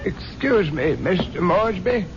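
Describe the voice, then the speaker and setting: An actor playing a formal, deferential butler in a 1970s radio drama, polite and apologetic. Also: male voice, sits high, animated, a little slow, loud music behind the voice. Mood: deferential worry. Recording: dull 1970s radio recording, faint noise.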